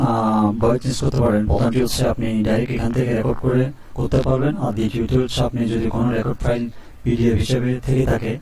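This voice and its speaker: A man hosting a step-by-step software tutorial. He keeps checking in with the viewer as he explains each click. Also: mid-range voice, echoey room, far from the mic, poor audio. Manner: steady instructional, frequent "ঠিক আছে?" check-ins. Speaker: tutorial host. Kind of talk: screen-recorded software tutorial.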